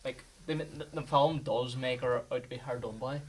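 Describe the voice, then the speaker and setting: A man's voice: mid-range voice, fairly even intonation, moderate pace, breathy edge. A man co-hosting a podcast, chatting casually about films. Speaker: podcast co-host. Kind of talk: casual film discussion.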